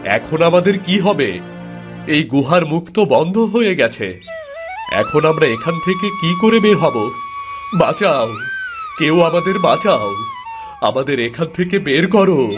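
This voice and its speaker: A frightened, pleading husband in a cartoon fairy tale , acted by a man. His slightly high voice swings dramatically as he implores, with clear music underneath. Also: wildly swooping pitch, unhurried, pauses often. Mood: panicked. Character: frightened, pleading married man trapped in a cave.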